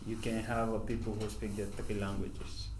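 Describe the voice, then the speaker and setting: A Nigerian man in his thirties, a Hausa speaker from the north, answering interview questions in a halting, unhurried way, letting sentences trail off softly. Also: on the low side, level tone. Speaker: podcast guest, professional footballer. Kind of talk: casual interview conversation. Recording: echoey room, faint background noise.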